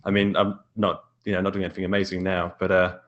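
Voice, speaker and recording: A man's voice: sits low, completely flat delivery, fast, fairly bright tone, highs cut off. A British man chatting casually as a podcast guest, informal and self-deprecating. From England. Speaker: podcast guest, indie author and filmmaker. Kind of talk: casual chat.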